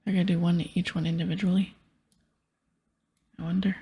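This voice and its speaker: Female ASMR gaming host: very breathy, hushed, some fry, relaxed and unhurried murmur. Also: lowish voice. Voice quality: soft, breathy whisper. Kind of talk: whispered ASMR game playthrough, reading dialogue aloud.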